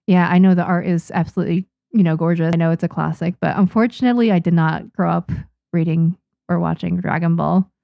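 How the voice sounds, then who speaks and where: A woman's voice: lowish voice, fairly even intonation, a little fast, gravelly, dark tone, no echo, clean studio sound. A young woman from Japan, a native Japanese speaker, chatting casually with viewers on a livestream, with frequent restarts and small laughs. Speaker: manga artist streamer. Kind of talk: casual livestream chat reading viewer comments.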